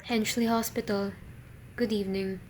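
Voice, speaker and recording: A woman's voice: mid-range voice, steady tone, heavy background noise, lightly acted. A woman playing a polite, unruffled hospital receptionist in a radio thriller, speaking formally and officiously. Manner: formal, measured, courteous.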